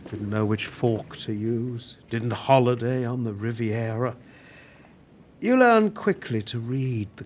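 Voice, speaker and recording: An actor in a radio play playing a dying older working-class television writer, proud, prickly and reflective, with a wry, deliberate way of speaking. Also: male voice, mid-range voice, darkish tone, slight background noise.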